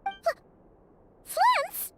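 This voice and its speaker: A woman voicing a tiny, outspoken fairy companion girl in a video game, with a squeaky, childlike voice. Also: drawn-out words, noisy background. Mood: shocked.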